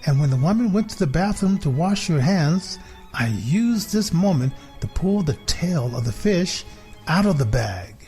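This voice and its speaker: A sly, self-satisfied big house cat , read by a man, tells its own storybook tale. The voice is a little high and the telling measured and deliberate, over soft music. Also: very animated. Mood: sneaky, earnest. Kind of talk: storybook reading for children.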